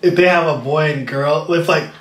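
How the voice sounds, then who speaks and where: A man's voice: on the high side, moderate pace. A man chatting casually. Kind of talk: casual chat. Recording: echoey room.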